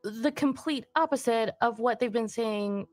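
A woman's voice: a little high, measured pace, no room sound, faint music behind. A woman co-hosting a true crime podcast, reacting and asking questions. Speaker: podcast co-host.

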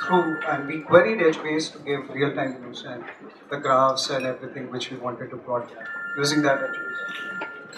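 A young man giving a technical talk at a meetup, halting with many restarts and fillers, on a handheld mic in a large office room. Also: a little high. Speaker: conference speaker. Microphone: handheld mic, far camera. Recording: echoey room from far off, noisy.

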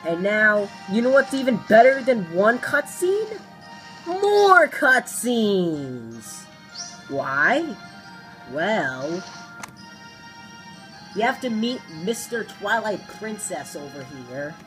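A woman's voice: mid-range voice, very animated, slow pace, pauses often, rough recording. A woman doing playful read-aloud video game commentary in a theatrical, sarcastic manner. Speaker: let's play host. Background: video game music.

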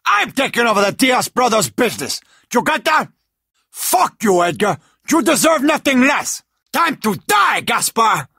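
An actor playing a hot-tempered, vengeful gangster drug lord in a video game, with a Cuban accent and a raspy voice, throwing profane, threatening taunts. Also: male voice, very high-pitched, big pitch swings, measured pace, sharp tone, no echo, exaggerated voice. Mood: furious, threatening.

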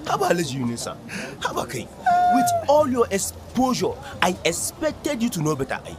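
A man's voice: sits high, wildly swooping pitch, fairly quick, very noisy. A man with a Northern Nigerian accent, playing a skeptical market trader who questions and scolds his friend in a radio drama. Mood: reproachful. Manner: reproachful, questioning. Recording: busy market crowd behind. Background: market crowd chatter.

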